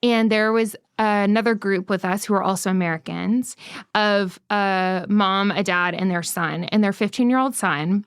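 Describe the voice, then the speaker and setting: Chatty young woman, smooth clear voice. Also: medium pitch, steady tone, normal speed, no room sound, clean studio sound. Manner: chatty, frequent "like" fillers, leads the questions. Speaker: podcast co-host. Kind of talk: conversational podcast chat.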